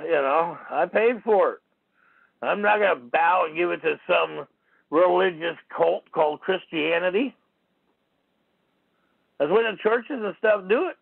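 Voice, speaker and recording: A man's voice: high voice, gravelly, no echo. A man hosting a call-in talk show, talking in a rambling, repetitive, argumentative way. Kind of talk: rambling call-in radio monologue. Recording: tinny phone-line call-in audio.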